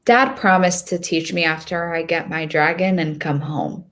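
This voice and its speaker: A woman playing a hopeful, nervous young girl who tells her own storybook tale in a deliberate, expressive reading. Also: low-pitched. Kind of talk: first-person storybook narration.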